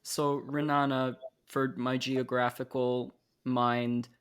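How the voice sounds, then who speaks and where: A man's voice: mid-range voice, flat delivery, slow, smooth. A young man interviewing a guest on a podcast, asking earnest, thoughtful questions in a casual tone. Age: young adult.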